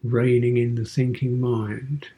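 A man leads a guided meditation in a very slow, measured delivery. His voice is soft-edged and relaxed, at an average male pitch. He speaks contemplatively, leaving space between short phrases. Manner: contemplative, sparse short phrases with pauses, posing gentle questions. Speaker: meditation teacher, Buddhist monk.

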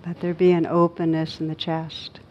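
A woman teaching a guided meditation, speaking gently in short, unhurried phrases with pauses between them. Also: sits low, monotone, muffled recording, a little hiss or hum.

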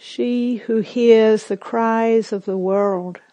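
A woman meditation teacher leading a guided meditation, speaking gently in short phrases with long pauses between them. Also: a little high, fairly even intonation.

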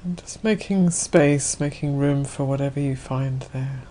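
A woman leading a guided meditation as a Buddhist nun, speaking gently in unhurried, spacious phrases. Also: a bass voice.

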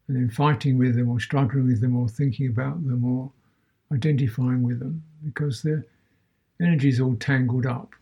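A man teaching a guided meditation as a Buddhist teacher, speaking in short measured phrases with long pauses between them. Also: medium pitch, warm tone, slightly rough.

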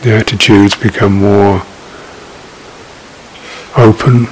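Male meditation teacher, low-pitched and very unhurried, reflective phrasing with long gaps, hissy recording. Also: poor audio. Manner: halting, reflective, with long pauses. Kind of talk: unscripted guided meditation. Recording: steady background hiss.

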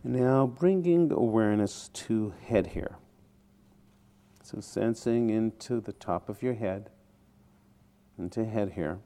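A man teaching a guided meditation in short, deliberate phrases with pauses between them. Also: lowish voice, warm tone.

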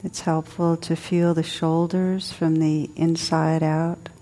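A woman leading a guided meditation as a teacher, soothing and unhurried, speaking in short phrases with long pauses. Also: sits low, level tone, warm tone. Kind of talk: guided meditation, spoken to a group.

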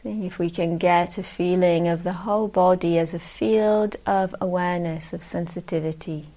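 A woman meditation teacher leading a guided meditation in a gentle, unhurried manner, leaving long pauses. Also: on the low side, fairly even intonation, poor audio.

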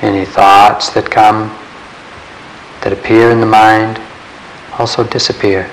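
A man leading a guided meditation in a calm, measured way, leaving long pauses between short phrases. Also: fairly low pitch, low-quality recording. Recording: steady background hiss.

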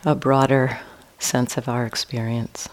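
A woman teaching a guided meditation in a calm, gentle manner with long pauses between phrases. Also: a bass voice, low-quality recording.

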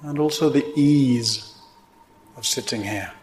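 A man teaching a guided meditation in gently instructive, unhurried phrases with pauses between them. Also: medium pitch, a little hiss or hum. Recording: roomy, echoing indoor sound.

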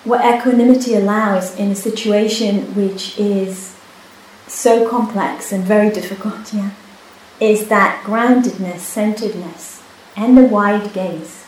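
Brief: Hebrew-speaking woman, meditation teacher, halting, reflective delivery; boomy retreat-hall recording. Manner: soft-spoken, unhurried, with many pauses and restarts. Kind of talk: dharma talk with personal story. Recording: echoey hall, faint hiss.

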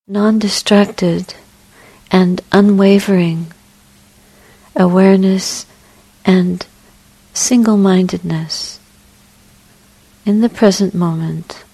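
A woman meditation teacher leading a guided meditation in a soft, breathy, gentle voice, unhurried and with long pauses. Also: medium pitch, fairly even intonation, poor audio.

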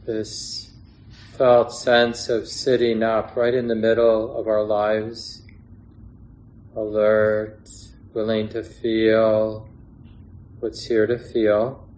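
A man teaching a guided meditation in a gentle, unhurried way, pausing between short phrases. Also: fairly low pitch, monotone, poor audio. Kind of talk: guided meditation instruction, unscripted.

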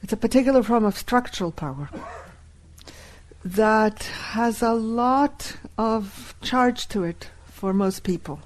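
Slow, deliberate woman teaching a workshop. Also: fairly high pitch, animated. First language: Hebrew. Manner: deliberate, repeats key phrases.

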